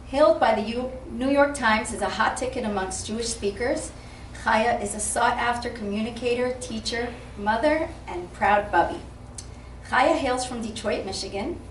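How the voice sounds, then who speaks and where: A woman's voice: on the high side, normal speed. Woman reading a formal introduction in a hall. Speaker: emcee introducing the speaker. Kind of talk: read introduction. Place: event hall. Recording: echoey hall from far off, some noise.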